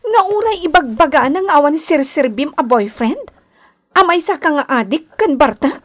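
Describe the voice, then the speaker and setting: A woman's voice: sits high, animated, moderate pace, fairly bright tone. A woman playing a scheming, lovelorn young woman in an Ilocano radio drama, pleading and insistent, with an Ilocano accent. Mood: hurt, pleading. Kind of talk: Philippine radio drama acting.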